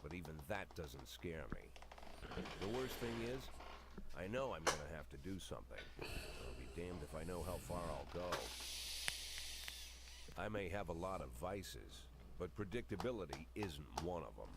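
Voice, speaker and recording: An actor playing a cynical, haunted middle-aged police chief in a brooding noir video game monologue. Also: male voice, deep voice, very animated, creaky, heavy background noise, full character voice. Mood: bitter, grim. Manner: brooding, confessional, measured. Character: world-weary, cynical male police chief, haunted and bitter. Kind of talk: dramatic noir game narration.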